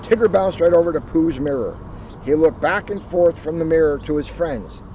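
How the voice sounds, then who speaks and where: A man reading a children's storybook aloud in an animated way, with casual asides. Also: a little high, moderate pace. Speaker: police officer reading a children's book aloud. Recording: noisy, muffled recording.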